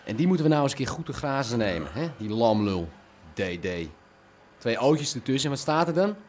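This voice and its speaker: A male actor plays a hot-headed young heir to a crime family in a radio play. His pitch swings widely as he talks in coarse, impulsive street language. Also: animated, brisk, darkish tone, slight background noise, close to an everyday voice. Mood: angry, menacing. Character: hot-headed, vengeful son of a crime boss. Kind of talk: naturalistic Dutch radio drama acting.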